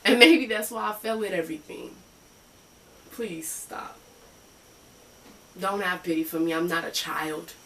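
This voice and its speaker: A woman's voice: medium pitch, sharp tone, recorded from a distance, poor audio. A woman performing a dramatic monologue as a broken, guarded therapy patient, confrontational, raw and sarcastic. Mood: cold, numb.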